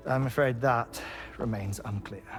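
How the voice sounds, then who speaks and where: An actor playing a courteous, reflective older investigator in a video game, speaking in measured, formal, wordy sentences. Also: male voice, medium pitch, over music, close to an everyday voice. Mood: measured, explanatory. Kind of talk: cinematic game dialogue with long exposition.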